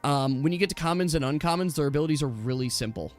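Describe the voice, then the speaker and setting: A man's voice: a little high, quick pace, soft background music. A man chatting casually as a game master, with an enthusiastic, rambling way of explaining things to his players.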